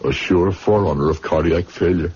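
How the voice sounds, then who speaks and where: A man's voice: sits low, a little fast, a little mellow, slightly rough. An actor playing a bitter, despairing middle-aged former doctor in a radio drama, brooding, sardonic and literate in his speech. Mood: dry, sardonic. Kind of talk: radio drama acting with first-person narration. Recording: dull, muffled radio drama recording.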